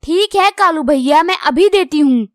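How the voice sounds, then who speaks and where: Woman voicing a tiny, very high-pitched polite bird. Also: lively intonation, normal speed, no room sound, studio quality. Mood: cheerful, polite. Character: friendly, polite female little bird mango seller.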